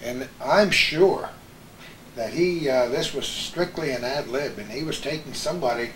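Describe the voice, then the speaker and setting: A man's voice: medium pitch, normal speed, fairly bright tone. Older man, host, casual storytelling chat, echoey room, faint background noise. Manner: chatty, anecdotal storytelling.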